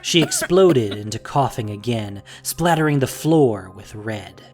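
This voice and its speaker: A narrator with a measured, dramatic storytelling manner, reading a scripted audio drama. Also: mid-range voice, animated, a little mellow, soft background music. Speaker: podcast narrator and producer.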